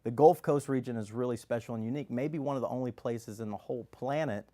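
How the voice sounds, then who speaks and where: A man from Kansas with a blended Texas, Louisiana and Midwest accent, confidently pitching his oil company as a radio guest. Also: fast talker, warm tone. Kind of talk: sales pitch interview answers.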